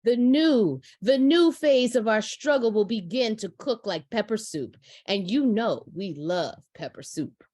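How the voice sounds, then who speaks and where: A woman playing a commanding, motherly resistance leader in a live script reading, measured and solemn as she exhorts her followers. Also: on the high side, animated, mellow, no echo, studio quality. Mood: rousing. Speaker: actor in a script read.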